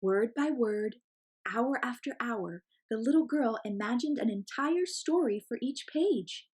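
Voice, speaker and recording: A woman reading a picture book aloud as an expressive storyteller for children. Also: fairly high pitch, a little slow, slightly rough.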